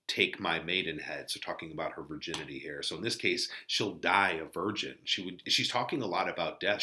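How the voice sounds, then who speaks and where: Male teacher, casual commentary, bright sharp tone, conversational, playful asides, lively intonation. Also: on the low side.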